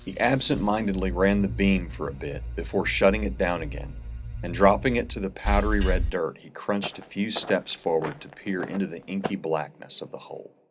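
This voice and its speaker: Very low-voiced man narrating a story. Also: normal speed, over music. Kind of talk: scripted dramatic story narration.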